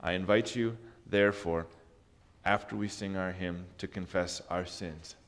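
Pastor with a low voice in a reverberant church. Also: male voice. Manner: measured liturgical reading with plain spoken notices. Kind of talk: leading a worship service. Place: large church sanctuary. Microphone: far camera at back of church. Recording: long church reverb from far off.

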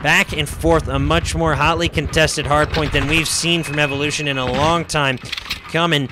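Hoarse young man calling esports. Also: fairly high pitch, moderate pace, background noise. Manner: excited, rising commentary calls. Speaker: esports caster. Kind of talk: esports play-by-play commentary. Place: home streaming setup, indoors. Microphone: headset.

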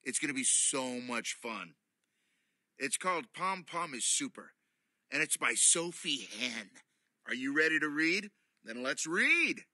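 A man's voice: fairly high pitch, unhurried, no room sound, studio quality. Playful middle-aged man reading a bedtime story. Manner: animated, playful, theatrical. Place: studio set with cosy armchair.

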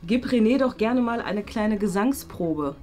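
A woman's voice: medium pitch, lively intonation, measured pace, dark tone, faint music behind. A young adult woman giving short, playful instructions to performers from an indoor studio. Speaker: off-table prompter giving instructions. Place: indoor home studio with desk.

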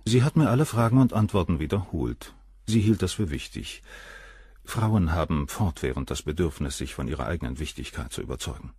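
An aloof professor in a radio crime drama. His very deep, husky voice carries cold condescension, recorded close and dry. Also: lively intonation, fairly quick, warm tone, dry recording. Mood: condescending.

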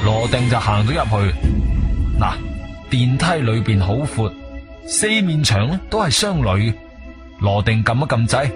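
A man with a Guangzhou Cantonese accent narrating a radio drama as a conversational storyteller, dropping in interjections. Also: mid-range voice. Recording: music bed behind studio voice.

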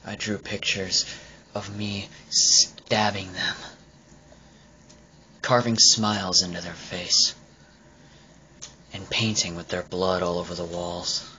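A man voicing a brooding, bitter young killer artist in a halting, menacing confession, breathy and often dropping to a whisper. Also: sits low, little pitch movement, bright, forceful tone, highs cut off, slight background noise, heavily acted. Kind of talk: dramatic villain monologue.

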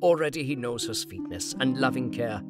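An actor playing a wise, tender older professor in an audio drama, speaking deliberately and earnestly. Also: male voice, medium pitch, animated, over music, natural voice.

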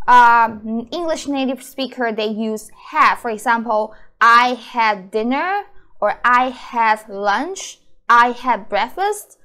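Native Mandarin speaker, woman, 30s, online language teacher; high voice, unhurried delivery. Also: fairly bright tone. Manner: slow, careful repetition of example phrases. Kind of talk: language lesson to camera. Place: small indoor room.

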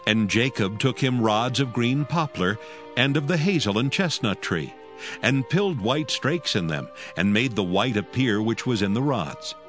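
A man narrating scripture from a script in a measured, solemn storytelling manner. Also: medium pitch, expressive, a bit bright, soft background music.

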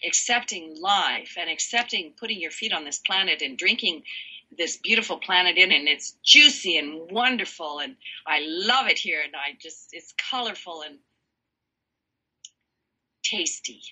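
Bright, husky-voiced woman guest. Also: rough recording. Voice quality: low voice. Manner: animated, laughs often, slow emphatic phrasing. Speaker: guest, sound healer. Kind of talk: warm expressive interview talk.

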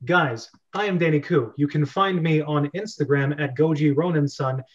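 A man with a slightly high voice hosts a relaxed group chat as podcast host. He talks casually, and the video-call recording sounds dull and lo-fi. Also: normal speed.

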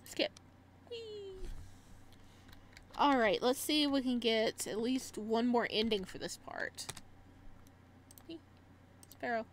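A woman giving casual let's-play commentary and reading game text aloud, with an excitable, reactive manner. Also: a little high, slow, long pauses, a little hiss or hum. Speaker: gaming streamer.

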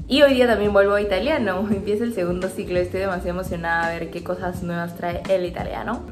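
A woman's voice: on the low side, echoey room. A young woman in her twenties from Peru, with a Peruvian Spanish accent, chatting upbeat and confiding as a daily vlogger. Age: 20s. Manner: talkative, upbeat, confiding to viewers. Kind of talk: casual chatty vlog narration. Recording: background music under voice.

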